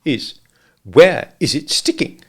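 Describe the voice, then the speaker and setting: A man's voice: a little high, sing-song, slow, creaky, breathy edge, pitched above the performer's own voice, exaggerated voice. A man voicing a fussy, know-it-all male rabbit.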